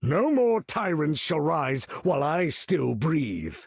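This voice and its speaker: An actor playing a proud, imperious older mutant leader in a video game, speaking in a grand, commanding, formal manner with a husky, gravelly voice. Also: male voice, on the high side, sing-song, slow pace, dry recording, studio quality, exaggerated voice. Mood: urgent, commanding. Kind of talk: theatrical video game character acting.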